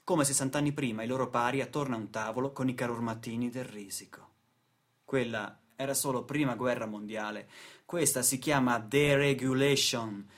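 A man narrating a radio theatre monologue in a rhetorical, emphatic way. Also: mid-range voice. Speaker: actor-narrator.

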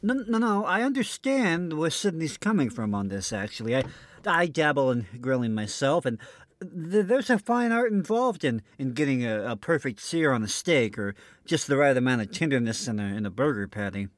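A man's voice: medium pitch, big pitch swings, normal speed. A man hosting an old-time radio show in scripted comic banter, mock-serious with some stammering.

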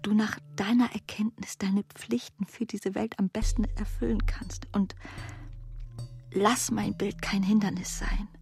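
A woman giving a literary reading of intimate letters in a soft, breathy, often near-whispered manner. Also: mid-range voice, fairly even intonation, a little slow, faint music behind.

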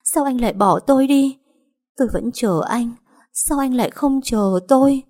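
A woman's voice: high voice, moderate pace, darkish tone, pitched above the performer's own voice. A woman voicing a grieving young woman who screams and pleads through sobs. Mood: anguished sobbing. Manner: wailing, sobbing, pleading. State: crying.

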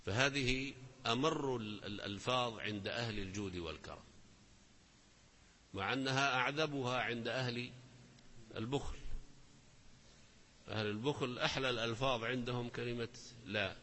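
A man teaching a lesson, explaining lines of poetry and reciting verses slowly with emphasis. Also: medium pitch, pushed and bright, big-room sound. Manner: slow, deliberate recitation of verses with rising emphasis.

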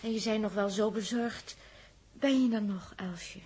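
An actress playing a teasing, playful, excitable space traveller in a 1960s Dutch radio drama, with breathy exclamations and halting repeats. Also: female voice, mid-range voice.

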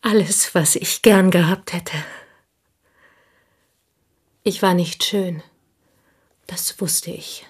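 An actress playing a plain, bitter woman in a radio play, confiding old envy in an intense, intimate way that often slips into breathy whispers. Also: on the low side, steady tone, extremely slow, long pauses, full character voice. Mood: bitter, confessional. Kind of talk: German radio play dialogue, intimate monologue.

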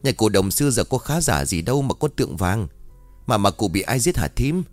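A man's voice: fairly low pitch, sing-song, a little fast, no room sound, soft background music, pitched above the performer's own voice. A man voicing a frantic middle-aged village mother who panics and calls out for her son. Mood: flustered. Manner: panicked, wailing, calling out.

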